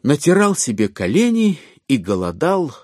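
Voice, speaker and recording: Expressive male literary narrator with lively intonation. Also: on the high side, normal speed, dry recording, clean studio sound. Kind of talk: expressive scripted literary narration for an audio drama.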